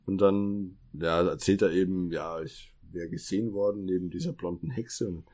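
A man speaks in a fairly low, husky voice. He is a podcast co-host chatting casually through the plot of an audio drama, paraphrasing what the characters say to each other. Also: normal speed, dark tone. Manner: paraphrases characters' dialogue while retelling. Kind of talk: casual chat retelling an audio drama episode.

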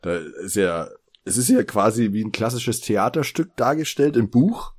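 A man's voice: fairly low pitch, moderate pace. A man co-hosting a podcast in casual, teasing conversation, often chuckling. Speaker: podcast co-host.

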